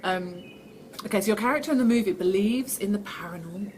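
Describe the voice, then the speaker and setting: A woman's voice: medium pitch, fast talker. A woman actress giving casual, chatty interview answers in a self-deprecating way, reading the questions out herself. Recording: steady background noise.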